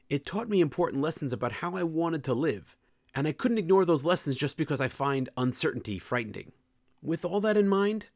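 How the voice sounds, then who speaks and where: A man's voice: fairly high pitch, a little mellow, no echo, clean studio sound. A young man reading his own personal column aloud in a candid, earnest and reflective way. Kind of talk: scripted personal essay narration.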